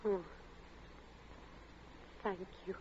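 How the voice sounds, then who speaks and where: Casting: formal, quietly eerie housewife in old radio play; very slow, even delivery, muffled recording. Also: female voice, a little hiss or hum. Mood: grave, courteous. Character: grave, courteous wife, quietly eerie hostess. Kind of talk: 1940s radio acting.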